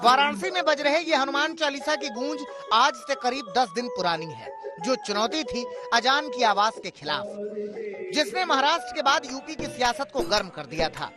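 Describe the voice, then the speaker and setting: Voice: high voice, lively intonation, moderate pace, music in the background. A news narrator reading a scripted, dramatic television report.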